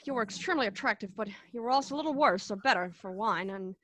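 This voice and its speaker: A high, bright voice over noise and clicks from a woman, playing an earnest young male reporter in radio drama. He is gallant and awkward, stammering into his lines. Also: animated. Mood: earnest, defensive. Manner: halting, stammering. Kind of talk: radio play acting.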